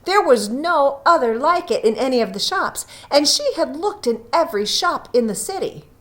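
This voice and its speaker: A woman reading a story aloud as scripted narration, with dramatic emphasis and drawn-out phrases. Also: sits high, animated. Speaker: audiobook reader.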